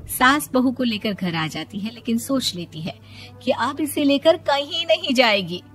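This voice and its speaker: A woman's voice: on the high side, lively intonation, unhurried, a bit bright. Woman narrating a moral story over music. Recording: music under the voice.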